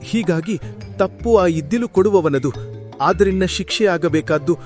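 A man's voice: sits high, normal speed, a little mellow, lightly acted. A man playing an anxious goldsmith in a cartoon folktale, pleading insistently and shifting the blame. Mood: pleading, defensive. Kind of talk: cartoon storybook character acting. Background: background music.

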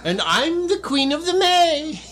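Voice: high voice, sing-song, measured pace, a bit bright, full character voice. An actor playing a campy, pretentious waiter in a radio comedy sketch, with a theatrical manner. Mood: silly. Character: campy, pretentious waiter with fancy French name.

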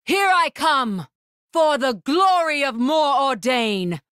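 A woman voicing a proud, composed, regal warrior spirit in a video game, with formal, commanding, measured diction. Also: high voice, expressive, a bit bright, no room sound, clean studio sound, heavily acted. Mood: fierce, commanding. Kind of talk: video game battle lines.

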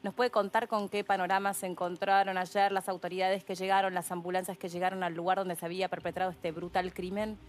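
A woman in her thirties with an Argentine accent hosts from a TV studio. She talks fast, and her voice sits on the low side for a woman. She keeps a conversational, interviewing manner. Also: little pitch movement. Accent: Argentine Spanish. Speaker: TV show co-host. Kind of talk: studio talk show interviewing. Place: TV studio set.

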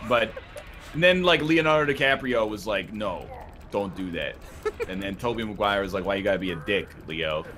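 Animated man bantering over a game. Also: lowish voice, normal speed, a little hiss or hum. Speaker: player in a group of gamers. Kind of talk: casual gaming banter.

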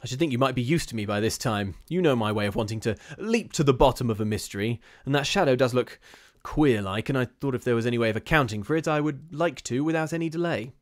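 Man as a brisk, forceful brother in a story. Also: medium pitch, dry recording, clean studio sound, close to an everyday voice. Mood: forced ease. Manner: brisk, falsely easy. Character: forceful brother, fierce beneath forced easy cheer.

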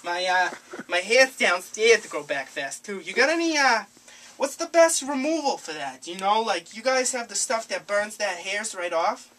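Young male prank caller: bright, forward voice, rambling and overly familiar, noisy amateur camera sound. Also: sits high, expressive, moderate pace. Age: young adult. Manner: rambling, hesitant, overfamiliar. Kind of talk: prank phone call. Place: small cluttered room indoors. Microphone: handheld camera a few feet away.

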